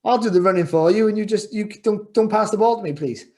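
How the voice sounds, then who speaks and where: A middle-aged man talks over a video call from his home. His voice sits a little high for a man. He speaks earnestly, doubles back on his words and leans hard on key lines. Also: fast talker, darkish tone. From Wales. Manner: earnest, repeats phrases and restarts, rising to emphatic. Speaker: podcast guest, former boxer. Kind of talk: casual interview chat. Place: home room, indoors.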